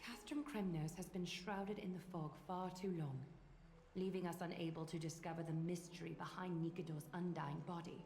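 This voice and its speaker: A woman voicing a composed, stately leader in a fantasy video game, speaking in a formal, measured, authoritative way. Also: lowish voice, fairly even intonation, darkish tone, lightly acted. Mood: measured approval. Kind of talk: English video game dub acting. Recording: echoing game audio under music.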